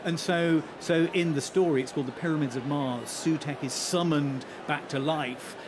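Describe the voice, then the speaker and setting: A man's voice: fairly high pitch, steady tone, normal speed. A man giving articulate expert explanations as a guest in a live interview at a large concert hall. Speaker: guest commentator, broadcaster. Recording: background noise of a busy venue.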